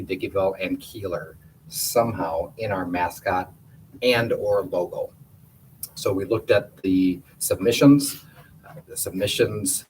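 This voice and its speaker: Low-voiced guy giving his report at a board meeting, far-off mic, bit of hiss. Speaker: school administrator giving a report. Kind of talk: meeting report, plainspoken and conversational. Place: meeting room. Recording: distant room mic with faint hiss.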